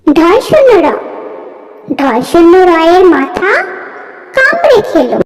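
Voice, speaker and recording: A woman's voice: extremely high pitch, very animated, measured pace. A woman repeating nursery alphabet rhymes in a singsong style for children. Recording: long reverb, music behind. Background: music.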